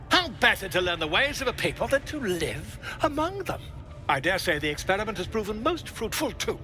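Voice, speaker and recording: An actor playing a flamboyant, pompous bard in a video game, speaking in a florid, grandiloquent, theatrical manner. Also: male voice, sits high, sharp tone, full character voice. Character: flamboyant, theatrical, pompous male bard and self-styled scholar.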